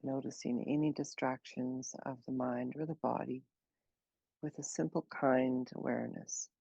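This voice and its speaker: Woman doing a guided meditation, slow n gentle, creaky voice, kinda dull dry recording. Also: a bass voice, steady tone, no room sound. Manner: slow, gentle, unhurried instruction with long pauses. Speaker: meditation teacher.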